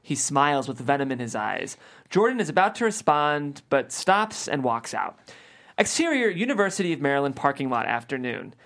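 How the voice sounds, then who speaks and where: A woman with a low voice reads stage directions from a script. Her narration is highly animated, moving freely up and down as at a comedy table read. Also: normal speed.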